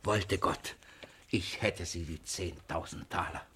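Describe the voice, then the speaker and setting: A man's voice: low-pitched, takes it slowly, pushed and bright, husky, heavily acted. An actor playing a miserly, suspicious old father in a classical comedy radio play, blustering and muttering in a theatrical way. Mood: muttering, wishful. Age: older.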